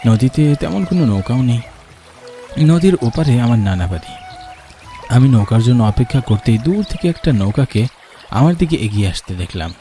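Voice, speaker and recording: A man's voice: medium pitch, big pitch swings, fairly quick, long pauses, mellow, soft background music. A man playing an earnest young man who narrates a cartoon ghost story in the first person. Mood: wondering, uneasy. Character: earnest young man narrating his eerie village journey.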